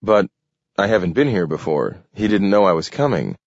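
A man's voice: low-pitched, sing-song, normal speed, no room sound, exaggerated voice. A man voicing a proud, anxious job seeker who talks in a hesitant, formal way. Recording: dull lo-fi recording.

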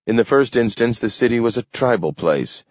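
A man voicing a grim, resolute civic official in a story, speaking in a level, stern, measured way. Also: medium pitch, animated, a little mellow, no room sound, dull audio, voice pushed up, natural voice. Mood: grave, resolute.

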